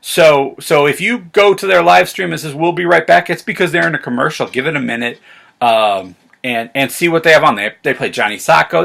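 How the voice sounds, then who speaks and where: A man's voice: on the high side, vocal fry. An American man talking casually to camera as a vlog host, in a rambling, enthusiastic fan's manner. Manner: rambling, excited fan talk. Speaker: vlog host and science fiction reviewer.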